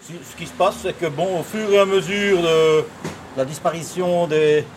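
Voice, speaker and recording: A man's voice: on the high side, steady tone, moderate pace, poor audio. A man commenting casually, searching for his words, with slight traffic noise behind. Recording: faint noise, vehicle sounds.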